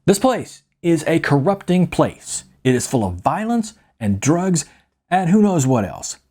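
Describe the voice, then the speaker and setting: A man's voice: a little high, big pitch swings, unhurried, a little mellow, breathy edge, voice pushed up, heavily acted. A man voicing a pompous, self-righteous city councilman making an indignant speech in an audiobook. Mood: pompous indignation.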